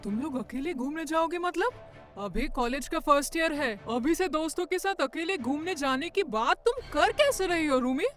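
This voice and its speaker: A woman's voice: high voice, expressive, normal speed, a bit bright, soft background music. A woman voicing a fussy, strict, suspicious middle-aged mother in a Hindi cartoon story. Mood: alarmed, scolding.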